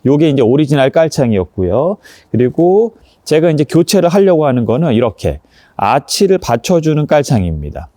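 Mellow, higher-pitched man presenting a product review. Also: sing-song, moderate pace, dry recording. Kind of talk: casual unboxing review, explaining step by step while demonstrating.

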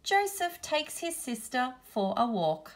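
Slow-paced woman reading a picture book. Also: high-pitched, very animated. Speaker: storybook reader, teacher. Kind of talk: children's picture book read-aloud.

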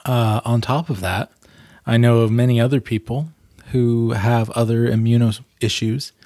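A man's voice: mid-range voice, fairly even intonation, moderate pace, warm tone, dry recording. A man in his thirties speaking as a vlog host and storyteller, earnest and emphatic, close to a desk microphone. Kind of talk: storybook reading and candid commentary. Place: small plain room indoors.

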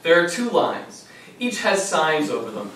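A man acting a fond, self-conscious grandson in a stage memory play. He is young and fairly high-voiced, with lively swings of pitch, heard from far off in an echoey theater. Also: a little slow. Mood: wry, fond. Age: young adult. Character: affectionate, self-conscious grown grandson narrating family memories. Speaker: stage actor. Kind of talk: theatrical narration and dialogue before an audience. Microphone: far camera microphone. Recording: echoey theater, distant mic, faint noise.

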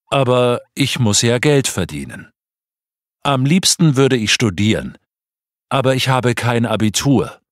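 A man voicing a Finnish night security guard, reading a scripted textbook monologue slowly. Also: mid-range voice, no room sound, studio quality, lightly acted. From Finland. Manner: slow, careful delivery.

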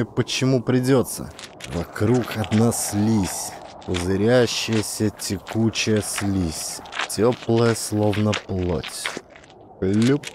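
A man's voice: fairly low pitch, background noise. A man reading scripted fiction as a dramatic audiobook narrator.

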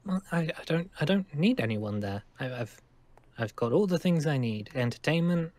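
A man playing a nervous, friendly young survivor in an improvised game roleplay, speaking in halting, rambling stammers. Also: fairly high pitch, animated, a little mellow, no room sound, lightly acted. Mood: nervous, hesitant. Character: nervous, friendly young male zombie-apocalypse survivor living at a school.

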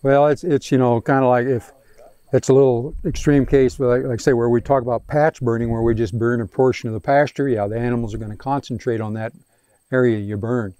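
A mid-pitched man with a mellow, relaxed tone answers questions from a crowd standing in a prairie pasture. He hedges and restarts often, speaking into a handheld microphone that keeps his voice close and dry. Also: a little fast, clear, resonant tone, no echo. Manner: hesitant, many restarts and fillers. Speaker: range scientist answering questions. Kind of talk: outdoor field day Q&A. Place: open prairie pasture outdoors.

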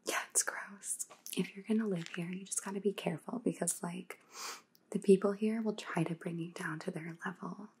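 A woman's voice: lowish voice, steady tone, normal speed, darkish tone, slight background noise, natural voice. A woman playing a bored, snide young vampire housemate with a disdainful, gossipy drawl in an ASMR roleplay. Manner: disdainful drawl, filler-heavy valley-girl talk. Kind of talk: whispered ASMR roleplay monologue.

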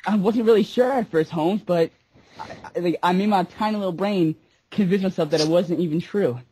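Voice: mid-range voice, brisk, warm tone, a little hiss or hum, lightly acted, poor audio. An amateur fandubber voicing a betrayed, accusing young male soldier in slangy, profane street talk for a comic parody. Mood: hurt, resentful. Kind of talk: amateur comedic fandub, improvised over a Skype call.

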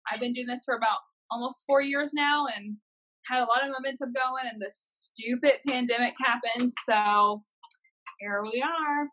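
Co-host, American woman of thirty: sharp bright tone, fairly high, casual and unpolished. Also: normal speed, poor audio. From United States. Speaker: podcast co-host, professional wrestler. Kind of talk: casual chat. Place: plain indoor room.

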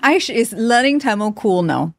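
A woman's voice: a little high, animated, gravelly, no room sound. Young woman as podcast host, conversational, dry studio sound. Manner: chatty, quick follow-up questions. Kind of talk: casual interview. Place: studio set. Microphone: boom-arm studio microphone.

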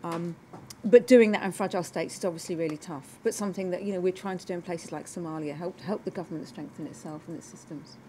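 A woman's voice: on the low side, fast, dark tone. British woman speaking on a panel, noisy room. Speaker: government development official on a panel. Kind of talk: formal panel remarks. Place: conference room, indoors.

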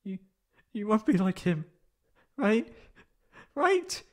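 A man voicing a wounded, anxious young catboy in an emotional ASMR roleplay, halting and stammering tearfully. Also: high-pitched, very animated, a little mellow, full character voice. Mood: distraught, pleading.

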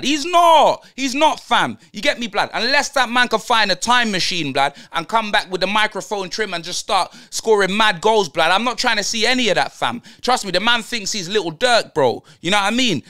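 A man's voice: high voice, pushed and bright. A man playing a brash young London roadman football fan, ranting in heavy slang and tagging lines with blood and fam. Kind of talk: comedic football rant.

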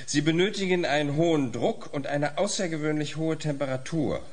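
An actor in a German audio drama playing an eager, touchy professor of science with a pompous manner. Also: male voice, fairly high pitch, normal speed, natural voice.

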